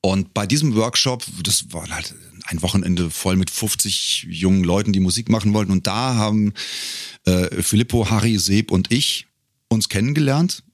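A middle-aged man, telling stories casually as a podcast guest and pausing to pick his words. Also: on the low side, no echo, clean studio sound. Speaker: podcast guest, singer and professional voice-over speaker. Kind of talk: casual conversational storytelling.

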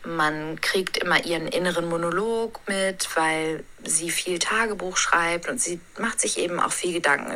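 Bright-voiced woman voice actress, musing. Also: lowish voice. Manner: thoughtful, musing, with frequent hesitations. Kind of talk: recorded interview statement.

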